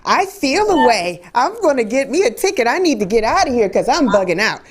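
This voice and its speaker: A woman hosts a chatty interview. Her voice sits on the higher side and swings with very lively intonation. She is effusive and playful, often repeating a phrase for emphasis. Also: brisk, a loud crowd. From the Bronx, New York. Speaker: interview host.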